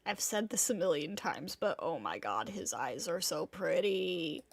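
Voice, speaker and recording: A woman's voice: on the low side, sing-song, measured pace, creaky, husky, no echo. A woman streaming a game, giving casual reactions and commentary in a gushing, teasing way.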